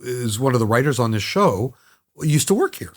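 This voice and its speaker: A man's voice: mid-range voice, big pitch swings, normal speed. A middle-aged man with a Canadian accent hosting a podcast, chatting casually with blunt, joking, sometimes profane remarks. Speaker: podcast host.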